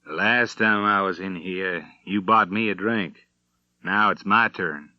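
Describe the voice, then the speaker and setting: A stern, calm gunfighter from a western audiobook, acted by a male narrator, speaks softly in a lowish voice. He is terse and deliberate, on a dry, slightly dull recording. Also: dry recording. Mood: quiet menace. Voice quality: soft-spoken. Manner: deliberate, restrained.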